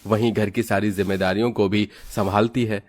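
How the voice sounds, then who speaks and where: A man narrating an animated moral tale for children in a scripted storytelling style. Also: fairly low pitch, brisk.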